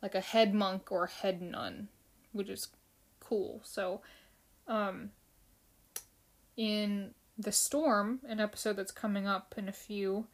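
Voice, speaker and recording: Young woman host, casual chatty delivery, everyday mid voice. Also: medium pitch. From Philippines. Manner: chatty, loose, many fillers and restarts. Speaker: podcast host. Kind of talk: casual solo podcast chat with Q and A.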